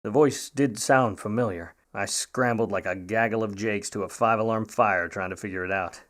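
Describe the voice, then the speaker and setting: An actor playing a wry, grudging, hard-boiled Hollywood private eye of the 1950s in old radio noir style, full of slangy wisecracks. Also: male voice, on the low side, moderate pace, fairly bright tone, natural voice. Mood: world-weary narrating. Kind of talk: old-time radio noir acting with narration.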